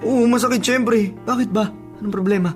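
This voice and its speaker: A man's voice: high-pitched, steady tone, a little fast, a little mellow, soft background music, close to an everyday voice. An actor playing a bewildered young man, earnest and questioning, in Filipino radio drama style. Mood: confused, indignant. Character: bewildered young man confronted by a lovesick friend.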